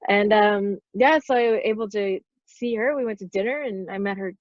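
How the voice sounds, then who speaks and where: A woman's voice: medium pitch, normal speed, no room sound. Woman in her 40s rambling thru stories on a podcast, lots of "like", kinda muffled dry audio. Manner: rambling storyteller, lots of "like" fillers. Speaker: podcast guest, stunt performer. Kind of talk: casual interview chat. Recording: dull, dry lo-fi sound.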